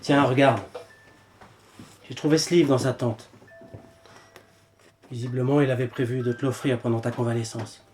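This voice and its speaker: A man playing a grave, determined wartime Resistance leader in a French audio drama, speaking in a measured, earnest way. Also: medium pitch, fairly even intonation, a little mellow, slight background noise, close to an everyday voice. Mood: sombre, gentle.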